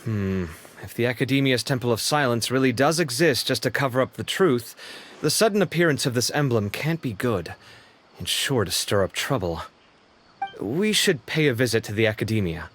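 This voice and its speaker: An easygoing young companion played by a man talks things through in a casual, thoughtful way at a middling pitch. Faint hiss runs underneath. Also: expressive, normal speed, a bit bright, natural voice. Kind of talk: game voice acting.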